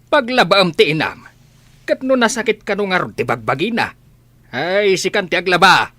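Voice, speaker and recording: A man's voice: high-pitched, expressive, normal speed, bright, forceful tone, no echo, heavily acted. An actor playing a bossy, grumbling village father in a radio comedy drama. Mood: gruff, scolding.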